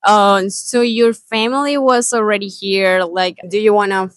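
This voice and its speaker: A woman's voice: medium pitch, steady tone, a little slow, clear, resonant tone, no echo, studio quality. Woman host asking short follow-ups. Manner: short prompts and follow-up questions, "okay" and "uh" fillers. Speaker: podcast host. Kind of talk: casual interview. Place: indoor room in New York.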